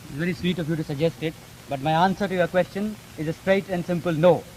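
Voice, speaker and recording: High-pitched young-sounding Indian debater, male. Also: fairly even intonation, quick pace, darkish tone. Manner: articulate, emphatic, argumentative. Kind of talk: formal debate. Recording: noisy 1950s archive broadcast recording.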